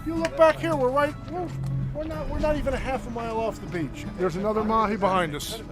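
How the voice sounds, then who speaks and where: High-voiced, energetic fishing guide. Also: male voice, fast, over music. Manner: energetic, chatty, explains step by step. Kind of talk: instructional fishing tips. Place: on a boat offshore, outdoors.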